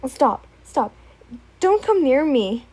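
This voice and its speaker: A woman's voice: high voice, very animated, takes it slowly, a little mellow, heavily acted. A woman voicing a young woman who speaks in a firm, insistent way. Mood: alarmed.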